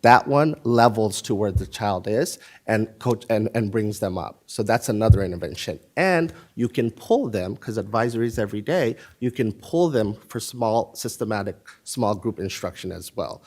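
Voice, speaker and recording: A man with a somewhat low voice presents to a school board. He explains in an emphatic, confident way and presses on to finish his point. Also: lively intonation, moderate pace, few pauses. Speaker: school district administrator. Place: board meeting room.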